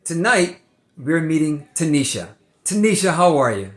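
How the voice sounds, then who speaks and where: A man's voice: fairly high pitch, sing-song, moderate pace, a bit bright, echoey room. A man hosting an interview show, opening formally and asking short questions. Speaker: host.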